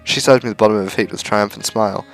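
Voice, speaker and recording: A man's voice: fairly low pitch, fairly even intonation, fast, vocal fry. A man reading a visual novel aloud as narration for a gaming video. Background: faint music.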